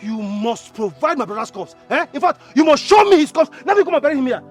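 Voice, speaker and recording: Fiery Nigerian brother, film drama: defiant, fast, swooping delivery, stuttered repeats. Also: male voice, very high-pitched, animated, breathy edge, faint music behind, heavily acted. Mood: angry, defiant. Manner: stammering, repeats words insistently. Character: hot-headed defiant younger brother, raging and grieving.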